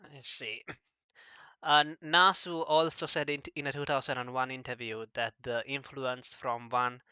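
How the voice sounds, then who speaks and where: A man hosting a casual, unscripted discussion, asking questions in a halting way and often stumbling over his words. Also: mid-range voice, steady tone, sharp tone, clear, resonant tone, no echo. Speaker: podcast host asking questions.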